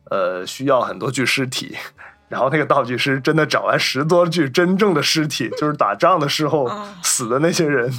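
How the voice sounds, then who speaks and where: A man's voice: medium pitch, a little fast, fairly bright tone. A man hosting a film podcast, chatting casually and telling anecdotes in a wordy, repetitive way.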